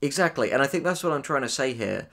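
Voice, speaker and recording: A man's voice: medium pitch, animated, fast, fairly bright tone. A man co-hosting a casual critical discussion, thinking aloud and arguing his points in a conversational way. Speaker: podcast co-host and audio drama writer.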